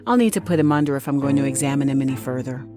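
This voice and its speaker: A woman's voice: rumbling low, racing, over music. A woman playing a nurse in an audio drama, narrating theatrically in the first person, soothing on the surface and vengeful underneath. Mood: cold, brooding. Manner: theatrical, swinging between cooing reassurance and private contempt. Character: vengeful nurse, sweetly soothing outside, cold and bitter within.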